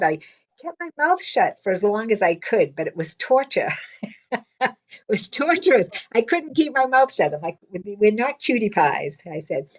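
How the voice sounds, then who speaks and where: An older woman in semi-retirement telling a casual story with a loud, blunt way of talking, acting out what people said. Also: medium pitch, highs cut off. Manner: lively, blunt, quotes people in her stories. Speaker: interviewee.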